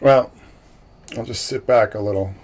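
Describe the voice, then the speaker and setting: A man hosting a livestream in casual chat, a self-described loudmouth with a big loud voice who jokes and greets viewers by name. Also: mid-range voice, moderate pace. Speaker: livestream host.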